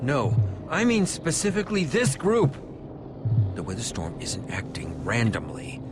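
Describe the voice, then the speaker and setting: An actor playing a brooding, defensive schemer in a video game, speaking with dramatic emphasis. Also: male voice, medium pitch, very animated, measured pace, background noise. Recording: loud music behind the voice.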